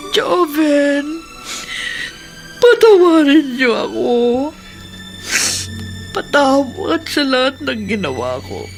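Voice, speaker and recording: An actress playing a sickly, remorseful older aunt in a Filipino radio drama, with an emotional, repentant manner. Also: female voice, high-pitched, full character voice. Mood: tearful remorse.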